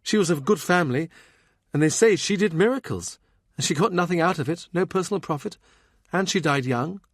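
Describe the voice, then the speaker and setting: A dutiful, quietly candid grown daughter in a historical audiobook, played by a male narrator. The voice is lifted high and gentle, patient in manner, with a dry studio closeness. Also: lively intonation, a little fast, no echo. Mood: earnest.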